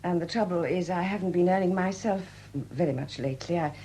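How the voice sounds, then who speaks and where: An actress in a 1970s radio drama playing a weary, flustered sculptress, newly widowed and proud, speaking candidly in hesitant, halting phrases. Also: female voice, on the low side, warm tone, lightly acted. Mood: dry, candid. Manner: hesitant, halting, repeating words. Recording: noisy old 1970s radio recording.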